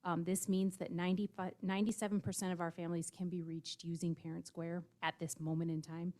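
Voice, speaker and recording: A woman's voice: lowish voice, level tone, fairly quick, warm tone. Upbeat woman giving a board report. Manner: upbeat, with light laughs and fillers. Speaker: district staff presenter. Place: school board meeting room.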